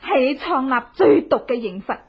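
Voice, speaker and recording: High-voiced vengeful widow. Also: female voice, lively intonation, normal speed, breathy edge, lo-fi sound. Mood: vengeful, accusing. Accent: Hong Kong Cantonese. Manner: theatrical, taunting delivery. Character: vengeful widow, cruel and gloating, torturing her husband's killer. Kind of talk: Cantonese radio horror drama acting.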